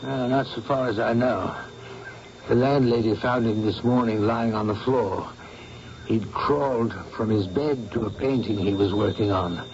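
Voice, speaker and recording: Dry, slow-talking painter, hissy old dull radio sound. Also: male voice, on the low side, fairly even intonation, a little mellow. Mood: grave. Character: gossipy, wry fellow painter, adult man. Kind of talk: 1970s radio drama acting. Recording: dull old radio broadcast with clear hiss.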